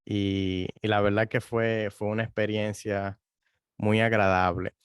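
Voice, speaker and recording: A man's voice: lowish voice, flat delivery, measured pace, no echo, studio quality. A man hosting a science podcast, introducing a guest and asking friendly, informal questions. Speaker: podcast host. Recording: music clearly behind the voice.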